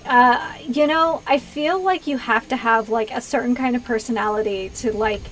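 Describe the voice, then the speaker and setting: Higher-voiced American woman chatting on stream. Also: normal speed, a bit bright, soft background music, low-quality recording. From US East Coast, Pennsylvania. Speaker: video game streamer and host. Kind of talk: casual livestream chat with viewers.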